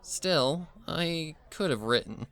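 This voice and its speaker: A man's voice: on the high side, expressive, takes it slowly, gravelly, fairly bright tone, pitched above the performer's own voice, heavily acted. A man voicing a bubbly young female pony in a story, an eager, apologetic sister who rambles. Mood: sheepish.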